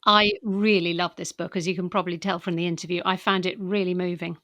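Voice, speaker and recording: A woman's voice: on the low side, level tone, fast talker, sharp tone, dry recording. A British woman interviewing a guest on a podcast, with semi-scripted questions. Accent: British English.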